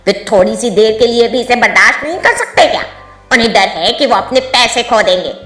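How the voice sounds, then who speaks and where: Bright, echoing woman as a mischievous ghost woman. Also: on the high side, lively intonation, a little fast, soft background music. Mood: scornful. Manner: taunting, theatrical, gloating. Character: mischievous gleeful female ghost who loves scaring travellers. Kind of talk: Hindi cartoon moral story voice acting.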